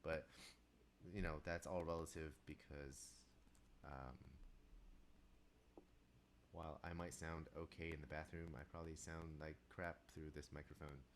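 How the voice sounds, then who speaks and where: A young man with a very low voice, casually talking to himself while composing on a live stream, rambling with restarts and asides. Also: level tone, measured pace, long pauses, a little hiss or hum. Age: young adult. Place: small room indoors. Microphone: desk microphone, wearing headphones.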